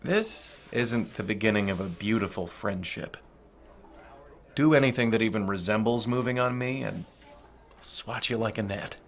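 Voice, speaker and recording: An actor playing a crude, domineering corporate boss in an audio drama, mocking and menacing in manner. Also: male voice, fairly low pitch, normal speed, close to an everyday voice. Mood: menacing, contemptuous.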